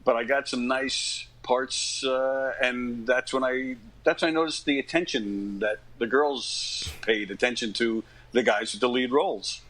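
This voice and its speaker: This is a man around fifty from Long Island with a bright, forceful voice at a middling pitch. He chats casually as an interview guest. His stories come out informally, with stops and doublings back. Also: measured pace, few pauses, low-quality recording. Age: about 50. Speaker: interview guest, stage actor. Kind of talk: casual conversational storytelling.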